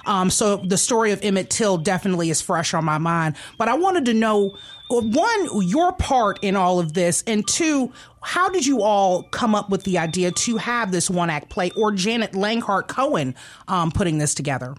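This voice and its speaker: A woman's voice: mid-range voice, animated, moderate pace. A woman hosting a radio magazine show and announcing events in a chatty, informal way from a studio.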